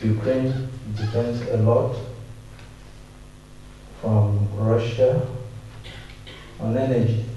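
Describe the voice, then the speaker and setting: A man from Africa asking the ambassador a formal question from the floor, measured and repeating phrases. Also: medium pitch, fairly even intonation, mellow, slight background noise. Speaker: audience member asking a question. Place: conference auditorium. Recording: dull, echoey hall audio from far off.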